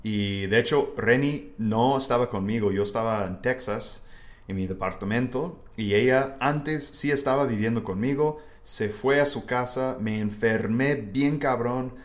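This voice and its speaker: A man's voice: mid-range voice, unhurried. A man from the United States, a native English speaker, hosting a casual language-learning podcast. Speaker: podcast host. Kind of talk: casual educational podcast conversation.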